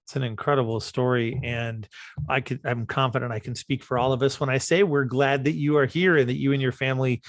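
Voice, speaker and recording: A man's voice: mid-range voice, steady tone, brisk, dry recording. Man, interviewer, friendly questions, dry close sound. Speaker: interviewer, panel guest.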